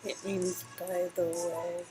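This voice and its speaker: A woman's voice: lowish voice, level tone, takes it slowly, mellow. A woman co-hosting a story-game playthrough with casual banter. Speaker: co-host. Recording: music in background.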